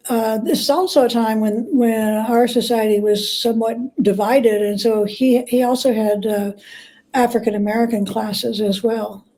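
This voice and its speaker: High-voiced, mellow woman guest. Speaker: guest, biographer. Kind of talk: conversational interview storytelling.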